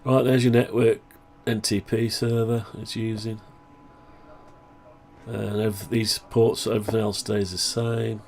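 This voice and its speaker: A man talking through a project update on his own software, rambling and thinking aloud, voice groggy from the flu. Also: on the low side, a little hiss or hum. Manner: rambling, thinking aloud, frequent throat clearing. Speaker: hobbyist maker showing his own software. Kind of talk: casual screen-share project update.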